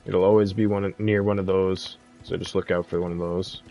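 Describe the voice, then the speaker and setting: A man giving a casual, relaxed video game walkthrough as the host of a guide, in informal language. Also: low voice, normal speed, vocal fry, faint music behind. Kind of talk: casual gameplay walkthrough commentary.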